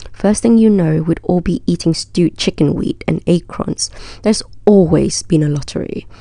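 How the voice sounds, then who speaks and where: A woman voicing a cranky old villager from a storybook, complaining scornfully about young folks. The voice is gravelly and mellow. It sits close to the reader's own pitch. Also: moderate pace, no room sound. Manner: petulant, scornful grumbling. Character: grumpy old village man.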